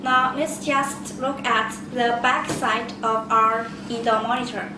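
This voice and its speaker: Female product presenter, slightly high pitch, simple non-native phrasing, recorded in a very echoey room. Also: flat delivery, slow. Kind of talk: product demonstration, step-by-step explanation. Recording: echoey room, distant mic, loud noise.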